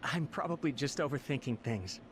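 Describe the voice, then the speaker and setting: A man's voice: medium pitch, expressive, a little fast, fairly bright tone, breathy edge. A man playing a nervous, deferential young servant in a video game, speaking with earnest, apologetic hesitation. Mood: worried, humble. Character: anxious, deferential young male servant of a noble clan.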